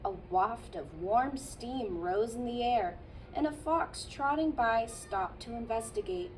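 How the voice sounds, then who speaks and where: A childcare teacher, a woman, reading a picture book aloud to children in a gentle storytelling manner with playful asides. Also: a little high, lively intonation, a little slow, far from the mic, a little hiss or hum, low-quality recording.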